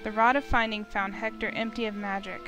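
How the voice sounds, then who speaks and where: A woman's voice: mid-range voice, fairly even intonation, a little fast, music in the background. A woman reading scripted story narration as the narrator of a visual novel.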